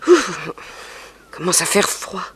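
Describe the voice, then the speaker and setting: An actress playing a seductive, world-weary gangster's moll, languid and coaxing, in a 1960s French radio crime drama. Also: female voice, lowish voice, big pitch swings, takes it slowly, a bit bright, husky, full character voice. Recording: faintly noisy vintage radio recording.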